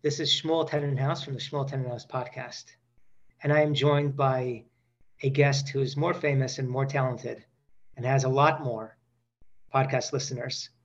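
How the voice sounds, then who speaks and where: A man's voice: mid-range voice, fairly even intonation, normal speed, muffled recording. A man in his forties hosting a podcast, bantering in a joking, self-deprecating interview style. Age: 40s. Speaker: podcast host.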